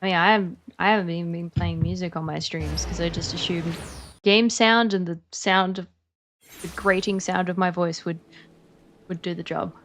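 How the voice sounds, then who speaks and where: A woman's voice: on the low side, fairly even intonation, normal speed, fairly bright tone. Chatty Australian woman streaming a game. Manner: chatty, rambling, lots of fillers. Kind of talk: casual stream chat.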